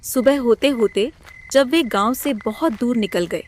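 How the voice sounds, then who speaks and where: A woman's voice: a little high, a little slow, a little hiss or hum. A woman narrating a scripted moral story as a storybook narrator.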